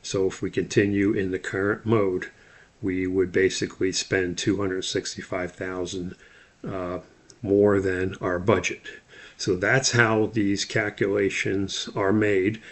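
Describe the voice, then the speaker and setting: A man's voice: sits low, little pitch movement, a little slow. A man giving an instructional lecture, explaining things methodically step by step as he talks through slides. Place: voice-over on a slide screen recording.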